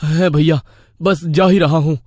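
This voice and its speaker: High-voiced timid village man played by a man. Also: fairly even intonation, measured pace, a little mellow, no room sound, studio quality. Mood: worried. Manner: fretful, pleading, stammering with fright. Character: timid, anxious village man, pleading father. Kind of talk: Hindi cartoon horror story acting.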